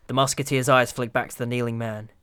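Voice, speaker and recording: A man with a mid-pitched voice reads as the narrator of an audio drama. His delivery is scripted, dramatic storytelling. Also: little pitch movement, fast, a bit bright, clear, resonant tone.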